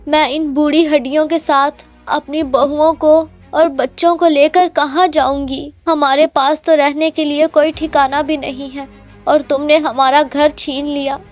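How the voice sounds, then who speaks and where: A woman's voice: sits high, little pitch movement, a little slow, faint music behind. A woman voicing an elderly, anxious mother-in-law in a cartoon, plaintive and dramatic. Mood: pleading, anxious.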